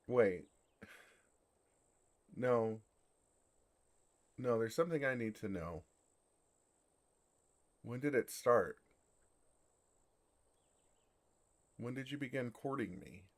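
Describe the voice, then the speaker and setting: A man playing a bashful, lovestruck male dragon in human form for an ASMR fantasy roleplay, speaking in a halting, earnest way. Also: mid-range voice, expressive. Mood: flustered, embarrassed. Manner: flustered, halting, earnest delivery.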